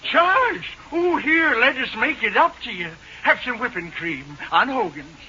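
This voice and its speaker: Very high-voiced meek milkman. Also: sing-song, unhurried, exaggerated voice. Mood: eager to please. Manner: timid, melodramatically fretful. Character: meek, apologetic, overly obliging milkman. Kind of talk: 1940s radio comedy acting. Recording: muffled old radio recording, loud noise.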